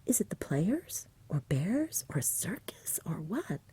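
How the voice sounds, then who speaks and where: A woman voicing an eager village boy in a storybook, in a breathy whisper with colloquial, clipped word endings. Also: on the low side, big pitch swings, takes it slowly, dark tone, exaggerated voice. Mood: curious. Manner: eager, colloquial, dropped g's. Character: excitable village boy sharing big news. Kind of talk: storybook character voice in ASMR reading.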